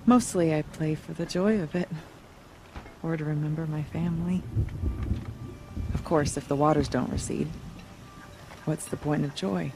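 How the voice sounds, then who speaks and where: A woman's voice: low-pitched, normal speed, pauses often, music in the background, poor audio. An actress playing a weary, resigned woman of a tribe in a video game, speaking in a somber, reflective manner. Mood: melancholy. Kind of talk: video game character acting.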